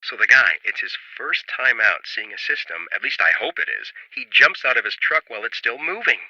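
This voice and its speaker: Bright, animated storm-chasing storyteller. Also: male voice, medium pitch, fairly quick, slightly rough, dry recording, lo-fi sound, exaggerated voice. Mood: wry. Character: animated male storm chaser telling a wry anecdote. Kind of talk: storytelling in a video game.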